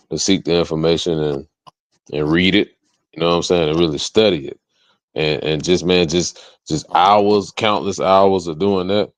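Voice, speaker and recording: A man's voice: low-pitched, dry recording. A man speaking casually as a music artist guest in an interview, motivational, emphatic and repetitive, with slangy tag questions.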